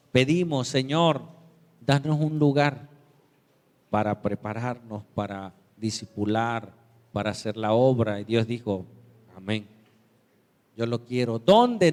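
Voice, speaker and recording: Man preaching in a reverberant hall. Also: a little mellow. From Mexico. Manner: storytelling, acts out quoted voices with big pitch jumps. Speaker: pastor. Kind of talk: sermon. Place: church sanctuary.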